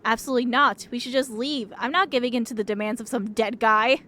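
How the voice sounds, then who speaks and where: A woman's voice: on the high side, fairly even intonation, fast talker, bright, forceful tone, a little hiss or hum. A woman voicing an irritable, stubborn, protective female cousin in a video game, blunt and indignant. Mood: defiant.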